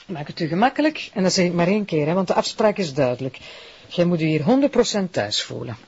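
Lively, husky Flemish woman playing a hostess. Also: fairly low pitch. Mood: welcoming. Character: hospitable, reassuring woman prosecutor and policeman's wife. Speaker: actor. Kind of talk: naturalistic crime drama dialogue. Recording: dull lo-fi audio.

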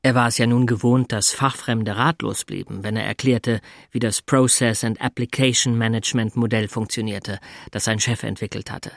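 A man acts out a sober IT consultant in a literary audio drama. His voice is medium in pitch, and he comes across as slightly awkward and hesitant, whether in dialogue or telling the story. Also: steady tone, moderate pace, vocal fry, few pauses, no room sound, studio quality, close to an everyday voice. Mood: reflective.

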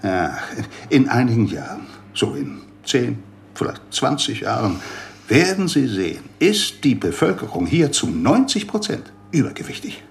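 A man's voice: medium pitch, very animated, measured pace, vocal fry, a little hiss or hum. A man playing a rambling, conspiracy-minded doctor in a radio play, lecturing with theatrical emphasis. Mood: emphatic, amused.

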